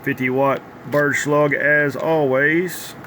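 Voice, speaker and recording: A man's voice: on the high side, steady tone, takes it slowly, bright, forceful tone. Man demonstrating repair work, faint background noise. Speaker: CB radio repair technician presenting his tune-up work. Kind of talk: informal workbench demonstration.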